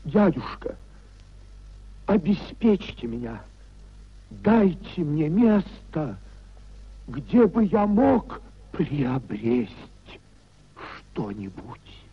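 Humbled, husky nephew, slow and halting. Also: male voice, fairly high pitch, very animated, full character voice. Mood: ashamed pleading. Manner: halting, apologetic, declamatory. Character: humbled idealist nephew, poor, ashamed petitioner. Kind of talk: 1950s theatrical radio drama acting. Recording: dull 1950s radio recording.

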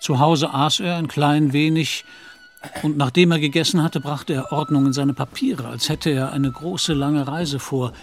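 A man's voice: a little high, fairly even intonation, a little slow, faint music behind. A man reading scripted literary narration as the storyteller of a German radio play.

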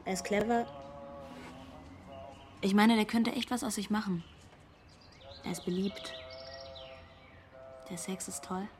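A woman's voice: mid-range voice, darkish tone, close to an everyday voice. An actress playing a frank, troubled young girlfriend giving testimony in a radio drama, blunt and confiding. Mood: wistful, anxious. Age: young adult.

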